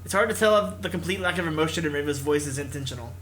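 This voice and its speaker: A man's voice: fairly high pitch, animated, racing, fairly bright tone, slight background noise. A man hosting a gaming video, reading narration expressively and mixing in casual commentary.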